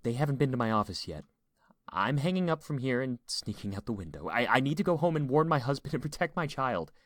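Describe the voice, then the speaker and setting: A man's voice: deep voice, normal speed, slightly rough, no echo, studio quality. A man voicing a fearful female emergency dispatcher in an audiobook, with a shaky, hesitant, secretive manner. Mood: scared, urgent.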